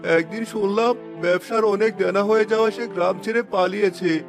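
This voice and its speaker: A man's voice: high-pitched, fairly even intonation, normal speed, vocal fry, over music. A man playing a helpful villager in a cartoon, speaking in a conversational, recollecting way. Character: helpful male villager recalling a vanished neighbor. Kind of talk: cartoon voice acting.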